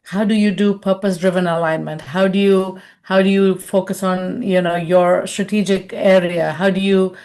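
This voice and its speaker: A woman's voice: medium pitch, fairly even intonation, normal speed, hardly any pauses, darkish tone. A middle-aged woman from India answering a question in a webinar, talking conversationally and acting out quoted voices over a video call. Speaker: webinar speaker and consultant.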